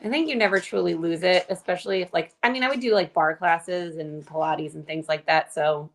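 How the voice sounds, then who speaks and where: A woman's voice: on the low side, a little fast, a bit bright. A woman in her forties chatting casually as a guest, using "like" a lot. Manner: chatty, heavy use of "like". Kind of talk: casual chat.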